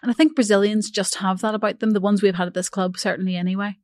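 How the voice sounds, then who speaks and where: A woman's voice: mid-range voice, steady tone, fast talker, darkish tone, no echo, clean studio sound. A woman hosting a podcast in friendly, teasing casual chat, recorded in a room at a training ground. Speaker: podcast host. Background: faint gym music.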